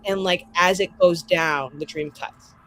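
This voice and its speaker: A woman's voice: fairly low pitch, steady tone, measured pace, fairly bright tone, a little hiss or hum, rough recording. A woman telling an improvised tabletop roleplay scene casually and dramatically, colloquial and sweary. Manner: dramatic, profane, colloquial with many "like"s.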